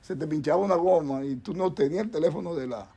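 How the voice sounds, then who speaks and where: Man, Dominican accent, middle-aged, doctor guest, rambling, repeats words, mellow voice. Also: fairly high pitch, fast talker, husky. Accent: Dominican Spanish. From Dominican Republic. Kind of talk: casual chat on a talk show panel.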